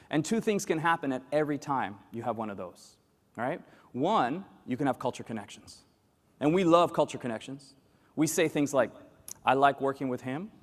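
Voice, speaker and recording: A man in his forties delivers a lively keynote in a fairly high voice. He jokes and fires questions at the room. His voice rings with the long reverb of a large conference hall. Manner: animated and joking, quizzes the audience. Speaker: keynote speaker.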